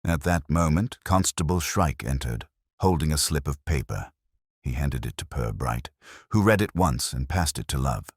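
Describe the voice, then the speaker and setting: Male narrator for detective fiction: extremely deep, measured, literary, expressive pitch movement, dry recording. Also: husky, dry recording. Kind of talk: scripted mystery story narration.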